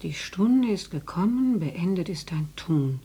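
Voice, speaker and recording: A woman's voice: lowish voice, expressive, measured pace, warm tone, slight background noise. An actress playing an elderly, unflappable housewife and grandmother in a radio drama, speaking in a dry, matter-of-fact way. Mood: reading, musing. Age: older.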